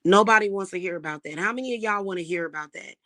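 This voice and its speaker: A woman with a slightly hoarse voice, talking casually and defiantly to her viewers about her spiritual practice. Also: mid-range voice, fast, fairly bright tone. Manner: chatty, defiant, addresses viewers as y'all. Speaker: spiritual reader and channel host.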